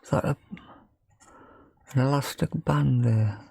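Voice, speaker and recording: A man's voice: mid-range voice, fairly even intonation, unhurried, darkish tone, no echo, studio quality. A man gives casual, thinking-aloud commentary on a repair job, tentative and often questioning himself. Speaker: host of a hobby radio repair video. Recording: clear background noise with clicking.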